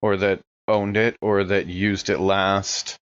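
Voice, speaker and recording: A man talks casually as a player in an actual-play game. His voice sits fairly low. The recording is slightly muffled and lacking in top end. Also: little pitch movement, slow, clear, resonant tone. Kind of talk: casual in-character discussion. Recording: slightly dull, muffled sound.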